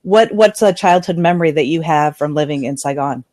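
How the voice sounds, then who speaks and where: Chatty, upbeat online host. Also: female voice, low voice, fairly quick, darkish tone, no echo. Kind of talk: casual online talk show. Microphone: headphones on a video call.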